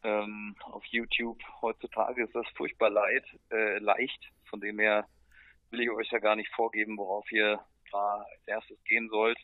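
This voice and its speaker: A man's voice: lowish voice, flat delivery, unhurried, no echo, phone-quality sound. A man, a musician guest, answering casually and spontaneously in a laid-back, colloquial way.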